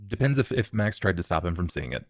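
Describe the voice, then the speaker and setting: A man's voice: sits low, big pitch swings, fast, dry recording, clean studio sound. A man playing a tabletop role-playing game, talking through his character's actions and questions in a casual way.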